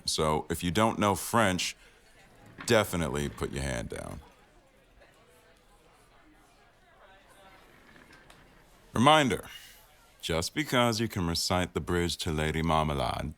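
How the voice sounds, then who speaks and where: An actor playing an exacting, sardonic executive news producer with a dry, authoritative delivery in a scripted audio roleplay addressed to the staff. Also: male voice, sits low, fairly bright tone. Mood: wry, commanding.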